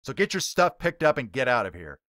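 A man's voice: mid-range voice, very animated, fairly quick, fairly bright tone, no echo, studio quality. A man reading a story voicing a stern police officer who gives curt orders. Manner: curt, authoritative.

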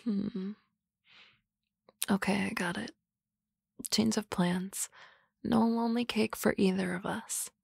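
A woman voicing a sardonic, teasing office-lady boss in an ASMR roleplay, with dry banter and soft whispery asides on a close mic. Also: mid-range voice, steady tone, takes it slowly, gravelly, pauses often, slightly rough, dry recording, full character voice.

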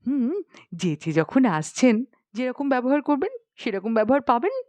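A woman's voice: high-pitched, very animated, normal speed, a little mellow, dry recording. A woman voicing a haughty, spoiled young princess in a storybook, asking questions in a huffy, indignant manner. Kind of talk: dramatised storybook reading.

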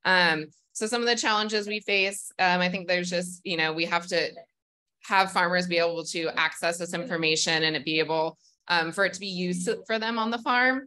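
A woman's voice: on the low side, steady tone, moderate pace, pushed and bright. A young woman from Northern California introducing herself as a family farmer on a conference panel, friendly and explanatory with frequent ums. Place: conference room with audience.

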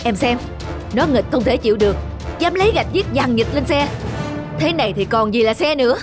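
A woman's voice: a little high, expressive, brisk, close to an everyday voice. A woman voicing a stern but loving cartoon father talking about his small child. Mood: exasperated. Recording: music behind the voice.

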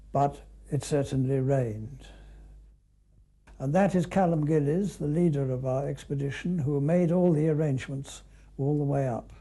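Older British man, very mellow voice. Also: on the high side. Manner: unscripted, conversational, with clipped musing asides. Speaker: canoeist recalling an expedition. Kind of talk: reminiscing film commentary.

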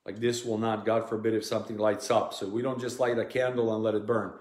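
A man's voice: lowish voice, little pitch movement, fast, a little mellow. A man in his forties, a priest whose first language is Serbian, speaking English with a Serbian accent as he earnestly shows parishioners things at home, with many ums and restarts. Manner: earnest, plain-spoken, frequent ums and restarts. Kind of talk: informal teaching to parishioners. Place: living room at home. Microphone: device camera at close range. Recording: echoey room.

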